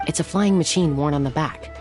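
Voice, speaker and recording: An actress playing a cool, serious young woman warrior in a video game, measured and matter-of-fact. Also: deep voice, music in the background, close to an everyday voice. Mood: composed.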